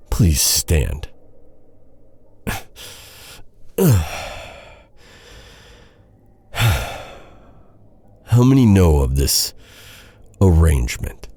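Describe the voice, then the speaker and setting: A man playing a guilt-ridden, devoted knight in a dark fantasy audio roleplay, speaking in an earnest, dramatic, halting way. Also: extremely low pitch, gravelly, slightly rough, heavily acted. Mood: subdued, resigned. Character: brooding, guilt-ridden male knight, bitter yet tenderly devoted.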